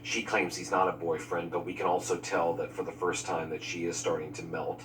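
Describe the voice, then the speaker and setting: A man's voice: deep voice, level tone, a little fast, fairly bright tone, recorded from a distance, a little hiss or hum, low-quality recording. A man giving candid, opinionated commentary on film editing in a behind-the-scenes interview. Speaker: film crew member discussing editing.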